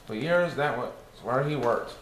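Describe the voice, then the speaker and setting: A man voicing a cheerful cartoon sea sponge who reads a storybook aloud in a halting sing-song. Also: mid-range voice, fairly bright tone, lightly acted. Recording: echoey room with faint background noise.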